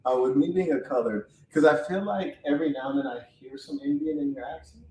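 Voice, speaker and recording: A man's voice: fairly high pitch, fairly quick, dark tone, reverberant, slight background noise, rough recording. A man hosting a casual reaction chat, talking bluntly and teasing his co-host. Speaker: podcast host.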